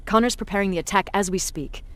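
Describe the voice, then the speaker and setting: A cynical female resistance commander played by an actress in a sci-fi war game. Her voice sits mid-range. She gives orders bluntly and speaks in a measured, sardonic way, like someone who expects obedience. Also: medium pitch, natural voice. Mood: brisk, commanding. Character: hard, cynical female resistance military commander, self-declared bully. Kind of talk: video game voice acting.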